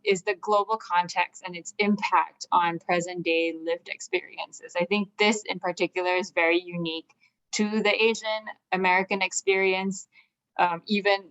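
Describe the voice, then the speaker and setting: A woman's voice: fairly low pitch, a bit bright. Earnest Malaysian-raised woman, lo-fi sound. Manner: earnest, with frequent "um" and "right?" check-ins. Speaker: assistant dean speaking at a community event. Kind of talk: conversational talk. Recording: dull lo-fi sound.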